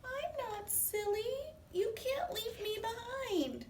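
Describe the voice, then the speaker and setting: A woman's voice: extremely high pitch, expressive, slow, a little mellow, echoey room, far from the mic, slight background noise, pitched above the performer's own voice, full character voice. A woman voicing a tiny, indignant, childlike storybook dot with a thin, whiny voice. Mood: whiny protest.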